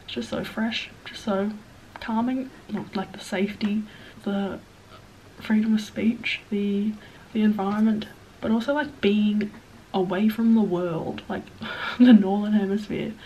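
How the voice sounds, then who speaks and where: A young Australian woman in her twenties chats to camera with a blocked-up, nasal voice from a cold. She rambles in a self-deprecating way and sometimes drops to a hushed whisper. A faint hiss sits under the recording. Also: mid-range voice, fairly even intonation, a little slow, muffled recording. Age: 20s. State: sniffly, stuffed-up nose. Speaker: vlogger. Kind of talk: casual Q&A chat to camera.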